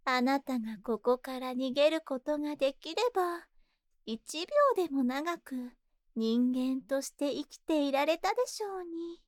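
A woman's voice: high-pitched, measured pace, no echo, studio quality. A woman playing a seductive, possessive spider monster in a Japanese ASMR voice drama, tender and coaxing, lingering on her words. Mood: gloating, pitying. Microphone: close ASMR microphone in a dry studio.

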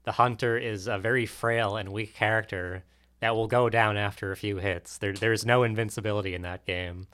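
Low-voiced man in casual debate. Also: steady tone, normal speed, fairly bright tone. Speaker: podcast panelist.

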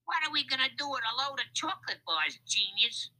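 Man as sharp, irritable high-voiced thief. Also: little pitch movement, normal speed, full character voice, rough recording. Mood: angry. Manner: sharp, complaining. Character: irritable male thief, sarcastic sidekick to a hijacker.

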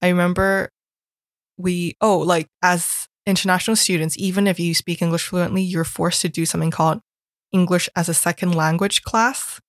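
A woman's voice: on the low side, fairly even intonation, normal speed, no room sound, clean studio sound. A young woman from Hong Kong, chatting casually about her school days and peppering her talk with "like". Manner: chatty storytelling, heavy use of "like". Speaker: guest recalling school experiences. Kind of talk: casual chat.

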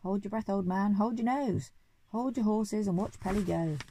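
A woman voicing a cheeky, excited monkey in a children's story, with jaunty, teasing exclamations. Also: mid-range voice, quick pace, creaky, a little hiss or hum, natural voice. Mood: cheeky confidence.

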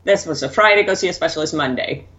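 Woman from Denmark, a bit low, relaxed and candid, dull lo-fi sound. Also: quick pace. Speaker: guest. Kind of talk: casual interview storytelling.

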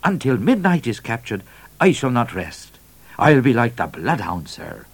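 An eager, boastful male trooper, put on by a man reading a story, speaks in a raised, bouncing pitch. He is fawning yet bold, declaiming like a storybook hero out to please. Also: very animated, unhurried, husky, exaggerated voice. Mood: bold boasting.

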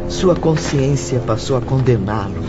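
Slow, solemn male radio drama narrator. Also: medium pitch, animated. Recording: muffled audio with music behind.

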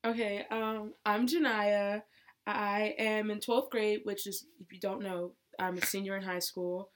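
A woman's voice: medium pitch, level tone, measured pace. An eighteen-year-old girl from Georgia in the United States, co-hosting a casual podcast and talking with lots of hesitant fillers. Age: teens. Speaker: podcast co-host. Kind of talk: casual chat.

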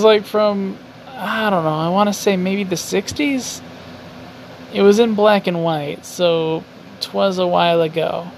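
A young man hosting a casual solo podcast from his garage, rambling, mocking himself and swearing often. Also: vocal fry. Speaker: podcast host. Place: home garage. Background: faint highway traffic.

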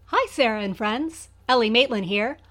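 A woman's voice: fairly high pitch, expressive, normal speed, fairly bright tone, soft background music. A woman presenting a quiz segment as a guest, explaining step by step. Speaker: guest Foley artist.

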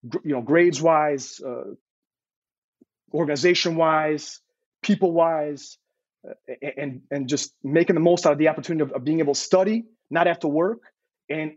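A man's voice: fairly high pitch, darkish tone, no echo, lo-fi sound. A man in his thirties, born in Serbia, telling his story as an interview guest in an earnest way, stumbling and repeating words. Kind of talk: casual interview.

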